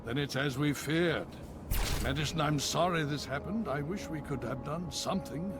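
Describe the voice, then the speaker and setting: An actor playing a grave, paternal elder leader in a video game, measured, consoling and authoritative. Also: male voice, fairly high pitch, lightly acted. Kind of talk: dramatic video game acting. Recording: music behind the voice.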